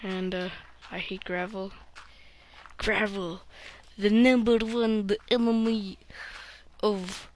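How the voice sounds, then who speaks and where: Slow, mumbling game commentator woman. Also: mid-range voice, flat delivery, a little mellow, slight background noise. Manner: rambling, drops into mumbles. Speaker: let's play host. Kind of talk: gameplay commentary.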